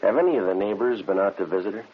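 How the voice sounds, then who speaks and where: An actor playing a laconic, matter-of-fact police detective sergeant in a vintage radio drama. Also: male voice, low-pitched, rapid-fire, a little hiss or hum, close to an everyday voice. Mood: businesslike, polite. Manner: deadpan, clipped, matter-of-fact. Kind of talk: 1950s radio drama acting and narration. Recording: muffled old radio recording.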